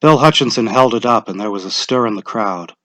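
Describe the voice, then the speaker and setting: A man's voice: on the low side, a little fast, bright, forceful tone, dry recording. A man narrating a short story as a scripted dramatic reading.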